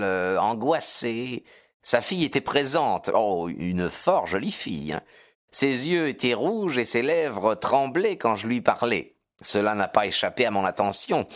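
Narrator doing a smug police detective guy, pompous, insinuating, chatty, voice goes up n down a lot. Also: medium pitch. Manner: pompous, chatty, hesitating with asides. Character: self-satisfied male police detective, dogged, insinuating.

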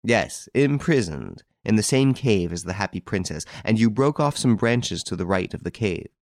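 Man reading assured, wry gentleman investigator. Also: fairly low pitch, big pitch swings, normal speed, no room sound, studio quality. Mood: firm, calm. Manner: assured, theatrical, unhesitating.

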